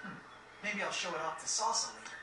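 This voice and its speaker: Brisk youngster, very echoey. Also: female voice, fairly low pitch, very animated, over music. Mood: curious, musing. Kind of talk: video game cutscene voice acting. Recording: very echoey, distant, noisy game capture.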